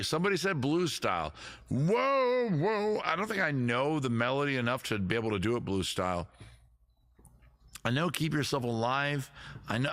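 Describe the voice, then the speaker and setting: A man hosting a casual comedy music stream, rambling and joking at himself between songs while he looks up chords, with a deep voice and loud guitar playing under him. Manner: rambling, self-mocking, swears casually. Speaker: host, singer and guitarist.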